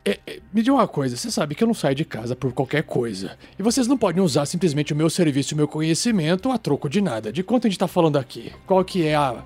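A man's voice: on the high side, fast talker, few pauses, slightly rough, soft background music. A man playing a grumpy, sarcastic, fussy male occult expert in a tabletop game. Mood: sarcastic, haggling. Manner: sarcastic, demanding, excitable.

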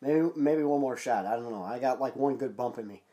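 A man's voice: medium pitch, brisk, dark tone. Stuffed-up man hosting a casual podcast. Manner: rambling, loose, many fillers and restarts. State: stuffed-up nose, nasal. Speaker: podcast co-host.

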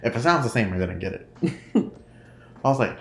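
A man's voice: mid-range voice, sing-song, normal speed. Casual solo podcast host, man, nasal, giggly and rambling; roomy echo with faint background noise. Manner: rambling, giggly, laughs often.

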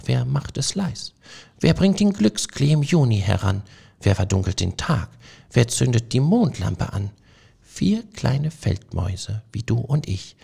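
Ein Mann, der eine sanfte, verträumte männliche Feldmaus spricht, ruhig und bescheiden. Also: male voice, medium pitch, gravelly, husky, voice pushed up, heavily acted. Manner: soft, calm and modest. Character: gentle dreamy male field mouse poet.

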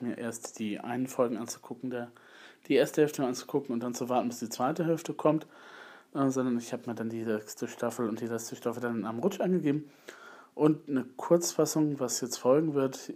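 A man's voice: mid-range voice, dark tone. A man with a slight cold giving a rambling, hesitant spoken review in a casual monologue. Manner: rambling, hesitant, repeats filler phrases. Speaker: video blogger.

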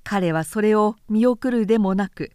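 A woman narrator reading literary fiction aloud in a measured, expressive storytelling manner. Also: medium pitch, smooth, no echo, clean studio sound.

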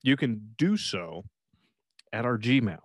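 A man's voice: medium pitch, very animated, slow, no room sound. A man in his thirties co-hosting a podcast, rambling and teasing in casual banter with light swearing. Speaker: podcast co-host.

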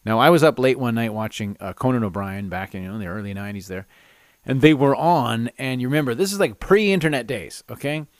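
A middle-aged man, hosting a solo podcast with casual, animated, self-amused stories and rants. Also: medium pitch, a little fast, no echo, clean studio sound. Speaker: podcast host. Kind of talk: casual solo storytelling and ranting.

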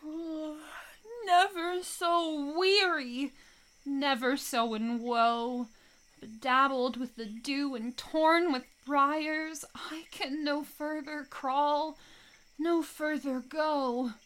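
A woman's voice: sits high, fairly even intonation, drawn-out words, fairly bright tone, heavily acted. A woman playing an exhausted, woeful young lady in a Shakespearean radio play, speaking plaintive verse. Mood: weary, woeful.

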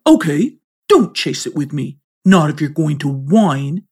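A woman's voice: sits low, big pitch swings, unhurried, a little mellow, breathy edge, exaggerated voice. A woman doing a teasing, mischievous boy. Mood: coaxing. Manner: teasing, laughing. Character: mischievous playful young boy. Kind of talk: storybook reading.

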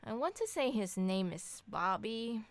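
A woman playing a sharp-tongued, bossy wife and mother who teases bluntly in a scripted audio comedy drama. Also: medium pitch, very animated, measured pace, no room sound. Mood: teasing. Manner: sarcastic, bantering, blunt.

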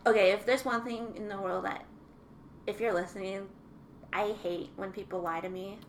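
A young woman co-hosting a podcast in casual chat, earnest and soft-spoken. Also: medium pitch, fairly even intonation, normal speed. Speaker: podcast co-host. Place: small room, mic on table. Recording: faint background noise.

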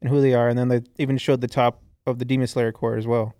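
A man's voice: medium pitch, level tone, fast talker, dark tone, clean tone, no room sound. A man co-hosting a casual podcast chat, rambling with frequent swearing and laughs, from the southern United States.

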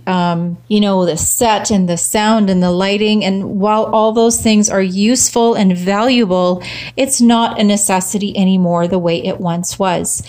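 A woman hosting a social media marketing show, talking casually and emphatically, her voice slightly rough from cheering. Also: mid-range voice, darkish tone. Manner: upbeat, emphatic repetition. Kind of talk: casual solo talk to camera.

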